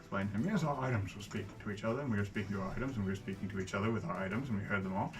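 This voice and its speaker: A man's voice: on the low side, racing, faint music behind. A man who plays a tabletop roleplaying game, speaking casually and jokingly as he relays his character's words.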